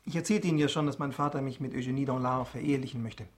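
A man's voice: mid-range voice, steady tone, racing, lightly acted. An actor playing a candid young nobleman in a German radio drama, polite and conversational with a resigned air. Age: young adult. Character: candid young viscount, wellborn, reluctant bridegroom.

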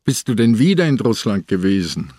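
An actor playing an old, shrewd police inspector in a radio crime drama, with a Swiss accent and a dry, chiding, matter-of-fact manner. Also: male voice, fairly low pitch, big pitch swings, moderate pace, darkish tone, slightly rough, dry recording, exaggerated voice. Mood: dry, teasing. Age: older.

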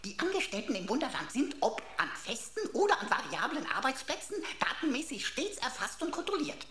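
An actress in a German radio play playing a pompous, sarcastic pocket computer with florid, mock-formal, theatrical delivery. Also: female voice, high voice, very animated, brisk, fairly bright tone, echoey room. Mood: pompous, triumphant. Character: pompous, emotional talking pocket computer, a sarcastic sleuth sidekick.

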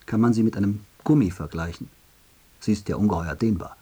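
Mellow, husky low male radio voice. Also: brisk. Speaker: speaker in a radio drama. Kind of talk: scripted radio speech.

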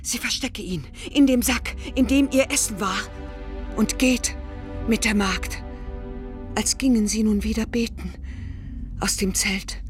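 An actress playing a solemn, resolute woman who narrates a biblical radio drama in halting, incantatory phrases. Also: a little high, faint music behind, lightly acted. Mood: tense, resolute.

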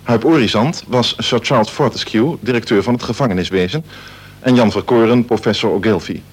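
A man's voice: medium pitch, a little fast, breathy edge. A man reading scripted radio play credits as an announcer, in a formal, orderly manner.

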